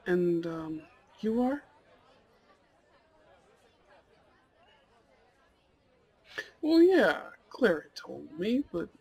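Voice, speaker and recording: Male actor as young goth date, high husky voice, slow hesitant delivery, sweet and polite, ASMR roleplay. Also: exaggerated voice, rough recording. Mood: nervous, sweet, then gentle, pleased. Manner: hesitant, soft-hearted, nervous little laughs. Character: shy, polite, dorky young goth man on a blind date.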